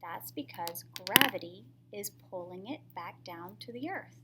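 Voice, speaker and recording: A woman's voice: mid-range voice, expressive, measured pace, very noisy. A woman reading a picture book aloud to young children in a teacherly way, often putting questions to the listener.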